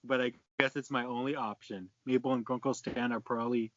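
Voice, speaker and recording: A man's voice: mid-range voice, little pitch movement, brisk, no echo, lightly acted. A man reading the part of a hesitant, earnest young boy from a cartoon fanfic, plain and uncertain. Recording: dry, dull lo-fi close mic.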